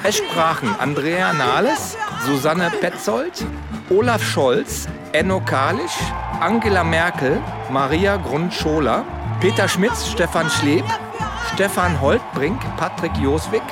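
A male announcer reads radio play credits. His high voice swings animatedly in pitch while keeping a slow pace. It is a scripted read. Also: very animated, over a noisy crowd. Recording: loud music behind.

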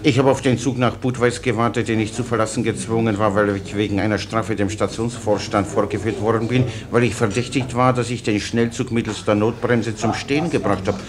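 An actor playing a cheerful, long-winded common soldier in a radio play, obsequious and blandly innocent. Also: male voice, lowish voice, steady tone, brisk, hardly any pauses, crowd noise, natural voice. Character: rambling, cheerful Czech common soldier, slyly naive.

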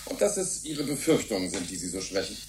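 A man's voice: low-pitched, very animated, brisk, darkish tone, a little hiss or hum. An actor playing a genial, erudite older scientist in a German radio drama, savoring his words in a fatherly way. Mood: worried. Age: middle-aged. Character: genial, enthusiastic older titled scientist, Michael's fatherly senior.

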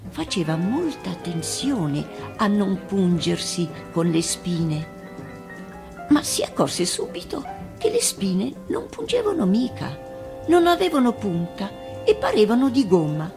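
A woman, an actress, reading a children's fairy tale as expressive scripted narration. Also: lowish voice, gravelly.

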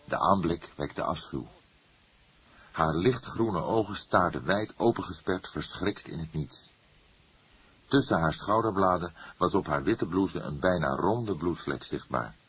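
A man with a very low, strongly husky voice reads crime fiction aloud. His delivery is measured and dramatic, as an audiobook narrator telling a story. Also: lively intonation, fairly bright tone. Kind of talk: scripted crime novel narration.

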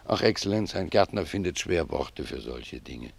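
A humble old gardener in a radio play. His voice is extremely low, with a gravelly creak. He talks in a pious, reflective way, choosing his words like a simple, deferential servant. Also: lively intonation, no echo, full character voice. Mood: pensive, devout. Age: older. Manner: reflective, deferential, unhurried phrasing. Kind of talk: German radio drama acting.

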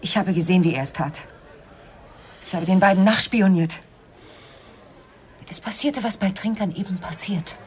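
An actress playing a cool, evasive widow with a guilty secret in a radio crime drama, controlled and often hushed. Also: female voice, mid-range voice, moderate pace, pauses often, lightly acted. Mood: grave, confessional. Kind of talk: 1990s German radio crime drama acting.

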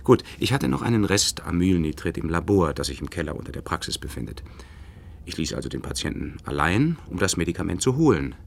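A man's voice: low-pitched, very animated, a little fast, vocal fry, a little hiss or hum, exaggerated voice. An actor playing an earnest, courteous doctor in a radio play, telling his story politely and eagerly. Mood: eager, puzzled. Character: earnest, courteous male doctor recounting a mystery. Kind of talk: German radio play acting.

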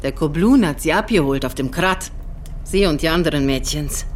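A woman's voice: low-pitched, expressive, normal speed, slight background noise. An actress playing a middle-aged farm mother, folksy, blunt and teasing, in a German radio drama. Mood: stern. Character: blunt, earthy farm mother of a teenage daughter.

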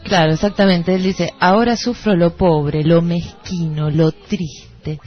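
A woman's voice: fairly low pitch, fairly even intonation, measured pace. A woman with an Argentine Rioplatense accent talking conversationally as co-host of a literary radio show. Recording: dull lo-fi radio recording. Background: background music.

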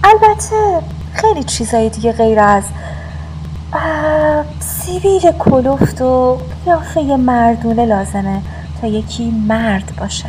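An actress playing a proper, sharp-tongued neighbourhood lady who talks in a formal, cutting way in a nostalgic radio drama. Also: high voice, expressive, a little hiss or hum, poor audio. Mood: indignant. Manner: formal, polite yet cutting. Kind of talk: nostalgic 1960s-set radio drama acting.

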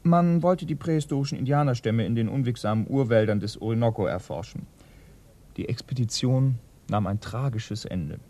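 An actor playing an earnest, bookish police assistant in a radio crime play, speaking in a precise, educated way. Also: male voice, mid-range voice, normal speed, mellow, close to an everyday voice. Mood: earnest, informative. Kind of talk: German radio play acting.

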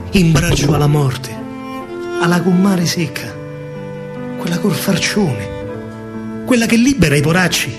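Male storyteller, Romanesco accent, lyrical stage monologue, widely swinging pitch and unhurried delivery over live music. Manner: declamatory, dramatic, lyrical. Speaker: solo stage actor and storyteller. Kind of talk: theatrical verse monologue in dialect. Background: live musical accompaniment.

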